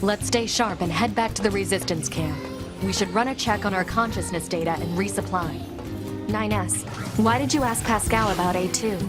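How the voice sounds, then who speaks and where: A strict female combat android played by a woman in a video game. She speaks in a cool, restrained, matter-of-fact way at a middling pitch, with music clearly playing behind her. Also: moderate pace, close to an everyday voice. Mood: cool, stern. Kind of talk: English video game voice acting.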